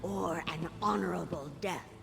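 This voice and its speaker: A man playing a zealous orc priest in a fantasy video game, solemn and gravelly, speaking in a ceremonial, declamatory way. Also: high-pitched, measured pace, heavily acted. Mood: grave, commanding. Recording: background music under the voice.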